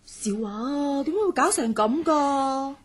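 A woman playing an outspoken, loyal young student in a radio drama, expressive and exclamatory. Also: high voice, slow pace. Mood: indignant.

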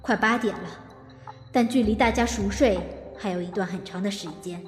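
A woman playing a vengeful narrator who coolly plots murder in a radio drama, speaking in a brooding, deliberate way. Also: medium pitch, roomy sound, long reverb tail, over music, close to an everyday voice. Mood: cold, scheming. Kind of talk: broadcast drama acting with inner monologue.

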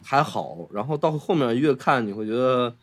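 Guy co-hosting a film podcast, middle pitch, casual, kinda halting as he thinks out loud. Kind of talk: casual conversation.

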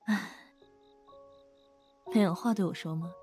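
A woman playing a cool, composed and guarded woman in a Chinese audio drama, speaking with measured restraint. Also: lowish voice, darkish tone. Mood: probing, guarded. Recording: music behind the voice.

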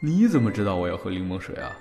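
Guy doing a teasing husband, very low voice, audio drama, music under it, flirty. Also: big pitch swings, fast, creaky, big-room sound, heavily acted.

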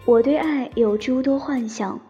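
Shy young heroine of a romance audio drama, played by a woman: high-ish, soft, sentimental, piano underscore. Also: a little slow, close to an everyday voice. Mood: wistful, tender. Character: shy romantic young woman narrating her love story. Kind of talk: Chinese radio drama, sentimental first-person narration. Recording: slightly dull sound under piano music.

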